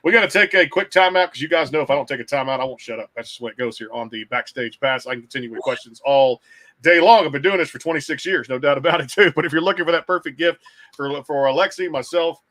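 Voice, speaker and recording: A man's voice: fairly high pitch, quick pace, a bit bright. A middle-aged man hosting an interview show, talking in a rambling way and repeating phrases. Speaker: podcast host.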